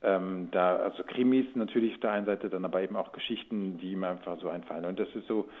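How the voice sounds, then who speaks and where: A man's voice: low-pitched, fairly even intonation, quick pace. A man giving informal, rambling interview answers in a conversational way, as a guest. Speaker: radio drama producer, actor and interview guest. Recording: phone-like narrow band sound.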